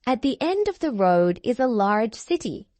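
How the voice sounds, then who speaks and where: A woman reading a children's storybook aloud, deliberately, with drawn-out emphasis on key words. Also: on the high side, sing-song, a little mellow, a little hiss or hum. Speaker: storybook reader. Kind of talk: expressive children's read-aloud narration.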